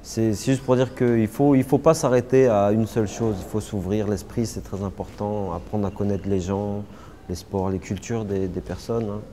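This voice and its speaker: A young man, answering interview questions casually, halting often with fillers and restarts. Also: lowish voice, fairly even intonation, warm tone. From Paris suburbs, France. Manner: hesitant, many fillers and restarts. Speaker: interviewee, martial artist.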